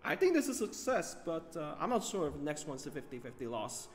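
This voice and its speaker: A man hosting a gaming video, giving off-the-cuff commentary in a halting way, often stumbling over his words. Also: on the high side, sing-song, long reverb tail, music in the background.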